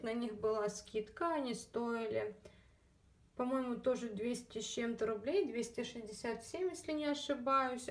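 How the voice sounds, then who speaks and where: A woman's voice: fairly high pitch, distant mic. A woman vlogger chatting casually about her shopping, full of fillers and asides to the viewers, her throat a little scratchy. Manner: chatty, many fillers, addresses viewers as girls. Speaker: beauty vlogger. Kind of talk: casual haul video chat.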